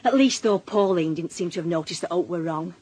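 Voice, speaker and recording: A woman playing a sharp-tongued, exasperated working-class wife in a radio drama, with a Northern English accent and a blunt, sardonic manner. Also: lowish voice, fairly quick, close to an everyday voice. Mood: wry confiding. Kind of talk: naturalistic radio drama acting.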